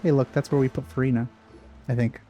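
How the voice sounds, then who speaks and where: A man's voice: medium pitch, level tone, quick pace, warm tone, slight background noise. A man giving casual let's play commentary in a dry, sceptical manner. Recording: game music behind the voice.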